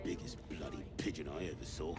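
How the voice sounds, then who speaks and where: A man voicing a crude, jeering goblin guard in a video game, with a British accent and a rough, mocking manner. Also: rumbling low, steady tone, a little fast, loud music behind the voice. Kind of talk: video game character acting.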